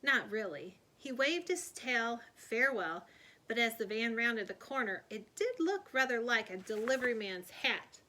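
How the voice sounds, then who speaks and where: A woman reading a children's story aloud in an expressive storyteller's manner. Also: on the high side, a little slow. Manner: expressive, animated delivery of dialogue. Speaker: storybook reader. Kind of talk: scripted read-aloud storytelling.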